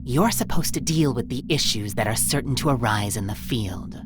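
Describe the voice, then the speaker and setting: Very deep-voiced, haughty crime queen. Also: expressive, normal speed, noisy background. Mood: demanding. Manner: condescending, theatrical, drawling. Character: imperious crime boss woman, haughty, mocking "Queen Bee". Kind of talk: visual novel voice acting.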